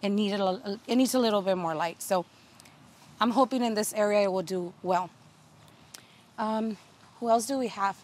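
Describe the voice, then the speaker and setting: A woman's voice: medium pitch, moderate pace, pauses often. A woman in her thirties giving a casual tour of her home garden outdoors, talking in a chatty way with many fillers and restarts. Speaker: garden video host.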